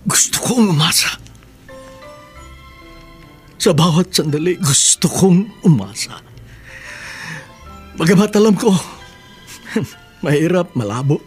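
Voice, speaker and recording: A man playing a sorrowful, devoted father in a Filipino radio drama, gentle and heartfelt, with a Filipino accent. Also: on the high side, lively intonation, slow pace, pauses often, breathy edge, soft background music, exaggerated voice. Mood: wistful, sorrowful.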